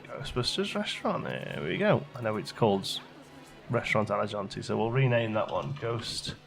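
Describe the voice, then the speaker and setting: A man's voice: on the low side, sing-song, fairly quick, gravelly, faint music behind. A man giving casual gameplay commentary, chatting and musing aloud as he questions his own choices, as a let's play host.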